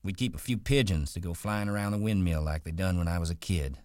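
A man's voice: sits low, darkish tone, no echo, clean studio sound, lightly acted. A man doing a drawling, dreaming ranch worker. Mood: dreamy. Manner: rustic drawl, brisk and rolling. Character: wiry male ranch worker, guarded and dreaming.

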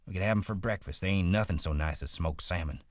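A man voicing a ranch worker who talks in a rustic drawl, brisk and rolling. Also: deep voice, dry recording, studio quality, lightly acted. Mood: dreamy. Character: wiry male ranch worker, guarded and dreaming.

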